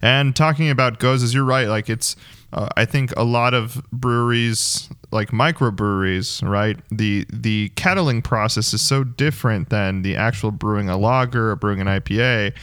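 A man with a clear, smooth, mid-pitched voice in a very dry-sounding space. He hosts in a casual, informal way, agreeable and encouraging toward whoever he is talking with. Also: normal speed, fairly bright tone, no echo. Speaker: co-host. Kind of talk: casual chat, hosting.

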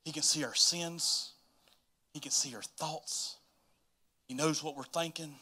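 A man with a Southern US accent preaching an informal, folksy church sermon and reading scripture, talking straight to his listeners and moving between emphatic and hushed delivery. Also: on the high side, fairly even intonation, unhurried.

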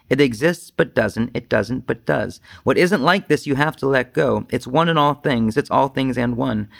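A man's voice: medium pitch, creaky, fairly bright tone. A man speaking as a Zen teacher in an informal dharma talk, with a self-deprecating, rambling manner.

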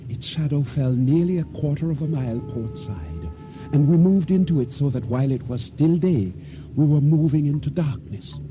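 An actor playing an uneasy, trusting boatman who tells his story in measured, formal radio drama narration. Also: fairly high pitch, animated, close to an everyday voice. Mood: solemn, suspenseful. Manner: measured, formal storytelling with simple dignified phrasing. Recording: radio drama with music underneath.

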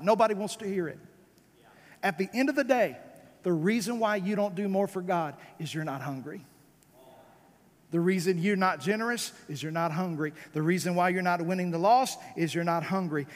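A man with a high voice and an American accent preaches like a revival pastor in a large, reverberant hall. His delivery is fervent and emphatic, built on repeated phrases and pointed rhetorical questions. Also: animated, moderate pace. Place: church service, amplified in a large room.

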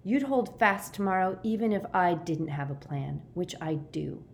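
A woman's voice: fairly low pitch, lively intonation, moderate pace. Kind storybook boy, read by a woman: earnest conviction, reassuring, loyal; audible background noise. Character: kind, steadfast boy reassuring his frightened friend.

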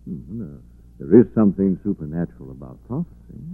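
An actor playing a suave, thoughtful gentleman detective, measured and musing, in 1940s radio style. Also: low-pitched, very animated, gravelly, husky, no room sound, heavily acted. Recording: muffled narrow-band 1940s radio recording.

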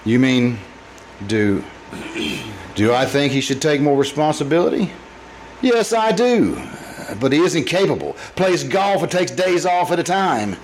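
A man's voice: on the high side, expressive, measured pace. Troubled, hesitant businessman played by a man, noisy recording. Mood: uneasy, resentful. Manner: hesitant, stammering. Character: troubled, anxious businessman confessing violent urges to his doctor. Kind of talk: old-time radio drama acting.

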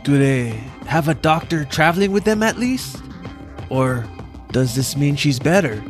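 A man's voice: a little high, sing-song, unhurried, soft background music, voice pushed up. A man voicing a distraught, grieving teenage boy, pressing with pleading questions. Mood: anxious, upset.

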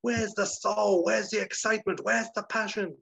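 A man's voice: high-pitched, fairly even intonation, normal speed, gravelly, a bit bright, slight background noise, voice pushed up, heavily acted. A man voicing an outraged Hollywood studio boss in a comic story, bellowing indignant questions. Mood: furious. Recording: roomy, dull lo-fi recording.

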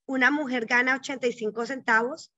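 A woman's voice: high voice, fairly even intonation, a little fast, sharp tone. A middle-aged woman, a psychologist and banking executive, telling her life story as an interview guest in an earnest, reflective way, often checking in with short tag questions. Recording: dull, dry, slightly lo-fi.

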